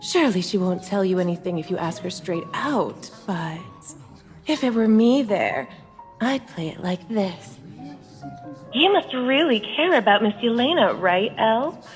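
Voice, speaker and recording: A sneering noblewoman, played by a woman, taunts in a slow, lilting voice. Her pitch swings widely as she mocks. Game music plays under a long hall reverb. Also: lively intonation, close to an everyday voice. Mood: taunting. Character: mocking, cruel noblewoman. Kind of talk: game cutscene voice acting.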